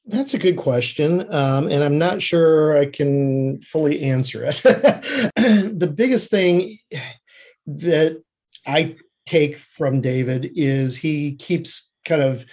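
Reflective man with a slightly high voice. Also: takes it slowly, darkish tone. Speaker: interview guest, screenwriter and TV creative services producer. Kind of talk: conversational interview answers.

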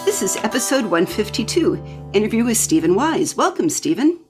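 A woman's voice: fairly high pitch, sing-song, moderate pace, soft background music. A woman hosting a casual interview in an enthusiastic, effusive way. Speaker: podcast host.

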